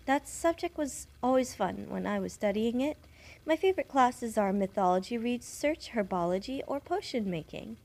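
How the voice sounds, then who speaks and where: A woman playing a kindly, chatty young witch who works as a nurse assistant in an audio roleplay, gentle, polite and a little flustered. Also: mid-range voice, gravelly. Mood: chatty, enthusiastic. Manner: gentle, polite, apologetic, slightly stammering. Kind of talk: audio roleplay addressed to the listener.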